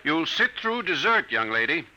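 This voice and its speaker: A man's voice: a little high, big pitch swings, normal speed, bright, forceful tone, heavily acted. A man playing a skeptical, mildly stern father in a 1950s radio drama, firm and a little dismissive. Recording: dull, noisy vintage 1950 radio recording.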